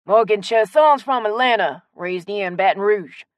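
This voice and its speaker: A woman's voice: mid-range voice, moderate pace, no room sound, pitched above the performer's own voice, natural voice. A woman narrator voicing a friendly, helpful small-town male shopkeeper in an audiobook story. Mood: helpful, chatty.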